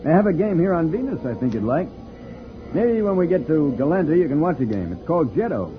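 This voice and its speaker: An actor playing a calm, protective space pilot from another planet, reassuring and explanatory in a 1950s radio serial style. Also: male voice, a little high, a little fast, music in the background. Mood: easygoing, explaining. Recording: tinny, noisy old radio recording.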